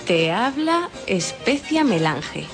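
A woman's voice: mid-range voice, big pitch swings, takes it slowly. An actress playing a defiant young woman in a radio play, speaking. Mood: solemn, wry. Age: young adult. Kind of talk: Spanish radio theatre acting. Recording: music behind the voice.

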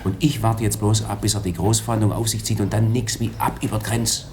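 An actor playing a cheeky, flirtatious escaped convict in a radio play, breezy and colloquial. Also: male voice, fairly low pitch, steady tone, a little fast, darkish tone, a little hiss or hum, natural voice. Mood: casual, scheming. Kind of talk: 1980s German radio play acting.

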